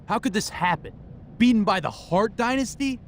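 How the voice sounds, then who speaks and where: A man's voice: high voice, lively intonation, moderate pace, slight background noise. A man playing a haughty, indignant wrestler in a video game cutscene, berating his listener. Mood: indignant scorn.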